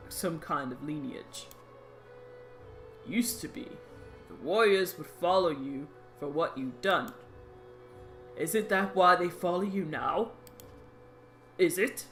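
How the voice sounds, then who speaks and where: A woman voicing a gruff male warrior chief who is weary of politics, reading his lines in a low, measured tone. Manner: low, measured read with a forced deep tone.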